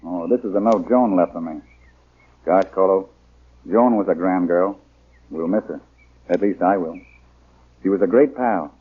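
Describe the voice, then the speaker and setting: A husky-voiced young jungle adventurer, chummy and wry in his talk. It is old radio serial acting, heard through a muffled, band-limited recording. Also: deep voice, moderate pace, long pauses. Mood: wistful. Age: young adult. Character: lanky young gentleman adventurer, wry, hearty, good-natured. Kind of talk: 1930s radio drama acting.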